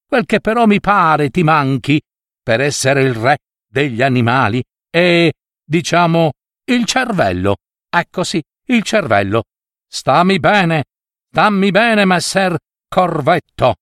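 A man voicing a sly, flattering fox with a wheedling, drawn-out manner. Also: a little high, no echo, clean studio sound, voice pushed up. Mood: mocking. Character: sly flattering vixen, sweet-talking and mocking.

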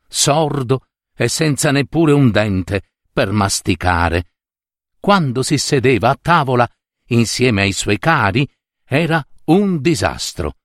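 A male storyteller narrates a fairy tale with theatrical flair. His pitch swings widely while he takes his time, setting out short, deliberate phrases in a very dry recording. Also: no echo. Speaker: storyteller and adapter.

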